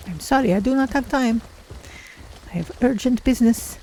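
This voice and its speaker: Woman as female feline fantasy adventurer, curious and careful, formal wording, creaky fry, clear noise behind her. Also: on the high side, natural voice. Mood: musing. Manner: deliberate, formal phrasing without contractions. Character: curious, cautious female Khajiit cat-folk adventurer. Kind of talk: in-character game playthrough narration. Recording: clear background noise under voice.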